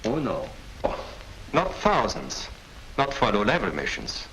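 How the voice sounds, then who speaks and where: A man acting in a 1940s film as a shrewd German officer interrogating prisoners, with a smooth, courteous and condescending manner. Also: fairly low pitch, wildly swooping pitch, unhurried, pushed and bright, full character voice. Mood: shrewd, explaining. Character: suave, shrewd German major interrogating captured airmen. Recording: noisy 1940s film soundtrack.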